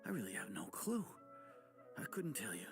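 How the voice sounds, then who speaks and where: A man's voice: lowish voice, sing-song, moderate pace. A man voicing a weary, apologetic male village trader who talks hesitantly and stammers, with faint game music behind. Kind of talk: game cutscene voice acting.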